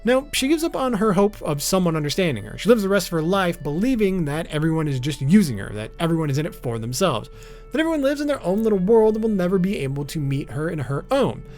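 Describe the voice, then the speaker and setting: High-voiced, animated man narrating. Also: fast talker, soft background music. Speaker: video essay narrator. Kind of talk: explainer video narration.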